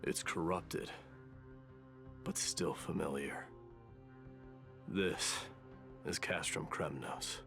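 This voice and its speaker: Stern proud warrior, low deep voice, slow, curt, grave. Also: male voice, gravelly, full character voice. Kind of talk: video game voice acting. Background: game music.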